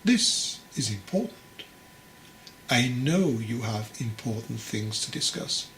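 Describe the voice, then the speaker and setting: A man voicing a stern, patient old monk who teaches in a deliberate, commanding, admonishing way. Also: medium pitch, expressive, a bit bright. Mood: calm, firm. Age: older. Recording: roomy echo, clear background noise.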